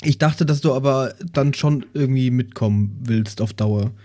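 Male podcast regular, medium pitch, very soft mellow timbre, conversational. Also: normal speed. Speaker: podcast regular, role-player. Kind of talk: casual chat.

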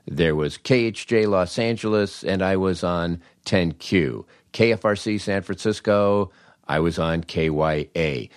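Older male host, lowish voice, wry conversational storyteller with emphatic delivery, recorded dry. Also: no room sound. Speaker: podcast host and former radio disc jockey.

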